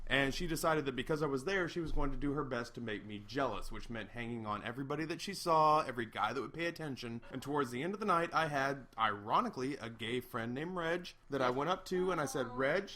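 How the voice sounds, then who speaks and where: A man's voice: medium pitch, expressive, quick pace, few pauses, bright, forceful tone. A young man, drunk, rambling through a profane, self-mocking story among friends around an outdoor fire. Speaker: storyteller host. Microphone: laptop mic.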